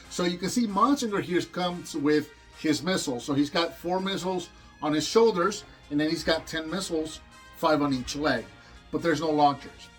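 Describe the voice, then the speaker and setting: Toy review host, a man from Puerto Rico, fairly high voice, eager and rambling, faint music behind. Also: fairly bright tone. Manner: enthusiastic, rambling with restarts.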